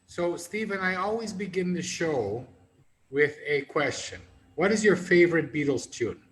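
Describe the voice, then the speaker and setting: A man's voice: on the high side, unhurried, fairly bright tone. A man hosting a casual video call interview, informal and joking with his guest. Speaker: host.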